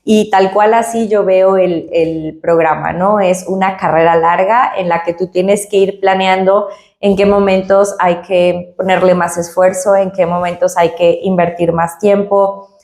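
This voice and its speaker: A woman's voice: mid-range voice, steady tone, measured pace, a little mellow, reverberant. A woman, a company director, answering interview questions and explaining her points with analogies and frequent tag questions. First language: Spanish.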